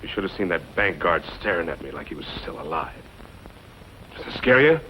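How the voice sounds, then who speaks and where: An actor playing a boastful, cold-blooded outlaw in an old western, talking in slangy, menacing lines. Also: male voice, low voice, big pitch swings, fairly quick, bright, forceful tone, exaggerated voice. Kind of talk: 1940s western film acting. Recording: dull, noisy old film soundtrack.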